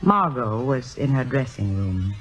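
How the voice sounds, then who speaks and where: An actress playing a spirited, earnest playwright's wife, speaking articulately and expressively in an old radio drama. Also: female voice, extremely low pitch, expressive, measured pace, breathy edge, muffled recording, soft background music, full character voice. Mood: reflective. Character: warm, spirited playwright's wife, narrating and chiding. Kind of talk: 1950s radio drama acting.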